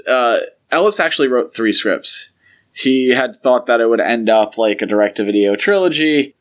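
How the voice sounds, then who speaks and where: Explanatory man co-hosting a podcast. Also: medium pitch. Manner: explanatory, opinionated. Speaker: podcast co-host. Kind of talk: casual podcast discussion.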